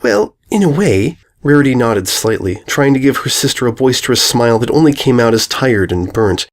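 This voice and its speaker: A man reads a story aloud as a narrator. His pitch sits in the middle of the male range, and his delivery is measured and dramatic, shaped as scripted storytelling. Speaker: audiobook narrator.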